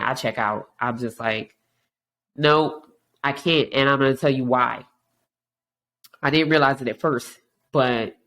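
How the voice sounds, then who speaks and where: A woman hosting a podcast in a frank, casual monologue, her voice stuffy and congested from allergies. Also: very deep voice. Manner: frank, conversational, many restarts. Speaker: podcast host.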